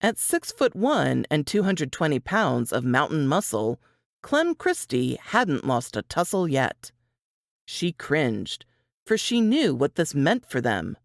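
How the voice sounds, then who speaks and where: A woman reading scripted fiction aloud as narrator, in an expressive, deliberate, dramatic style. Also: low-pitched, no room sound, clean studio sound.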